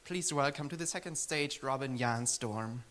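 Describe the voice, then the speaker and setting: A man hosting on stage, introducing the next speaker in a formal, slightly halting way. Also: mid-range voice, very noisy. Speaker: conference host.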